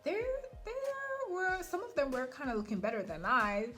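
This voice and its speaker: A young woman with a fairly high voice tells a story from her school days. She talks casually and animatedly, with plenty of fillers, over faint background music. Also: moderate pace. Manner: chatty, animated retelling with many fillers.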